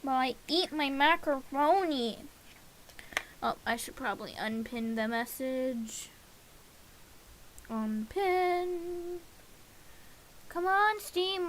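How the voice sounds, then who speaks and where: A woman's voice: high voice, slow pace, pauses often, fairly bright tone. A woman livestreamer chatting casually and playfully to herself, slipping into sing-song babble. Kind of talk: casual livestream chat while setting up games. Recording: clear background hiss.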